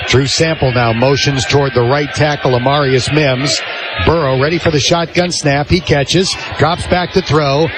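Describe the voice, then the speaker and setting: A man's voice: on the high side, fairly even intonation, normal speed. An American man calling football play-by-play on radio in clipped, descriptive phrases. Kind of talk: live American football commentary. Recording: clearly noisy live stadium broadcast.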